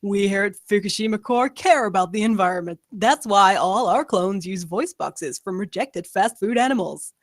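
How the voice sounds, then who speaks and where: A woman's voice: medium pitch, animated, normal speed, few pauses, dry recording, studio quality, natural voice. Woman as a chirpy, callous 1950s film presenter. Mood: falsely cheerful. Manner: falsely cheerful, salesy. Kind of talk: mock 1950s infomercial acting at a table read.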